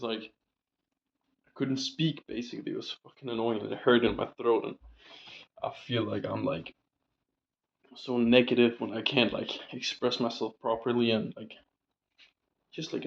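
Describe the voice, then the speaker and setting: A man's voice: mid-range voice, gravelly, highs cut off. A young man rambling casually in a diary vlog, full of fillers and casual swearing, his voice still recovering from a sore throat. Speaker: vlogger.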